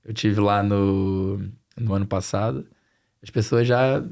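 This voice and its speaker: A man's voice: lowish voice, steady tone, slow pace, creaky, darkish tone. Brazilian man explaining in conversation. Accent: Brazilian Portuguese, slightly mixed with European Portuguese. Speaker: podcast guest, financial planning expert. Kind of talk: conversational podcast interview.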